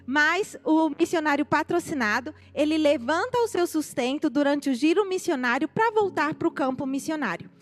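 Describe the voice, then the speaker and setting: A woman's voice: high voice, normal speed, hardly any pauses. A woman in her forties with a Northeastern Brazilian accent, a missionary giving her testimony to a congregation and speaking to it directly. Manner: animated, addresses the congregation directly. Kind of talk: testimony sermon. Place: church sanctuary. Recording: live church recording, faint music.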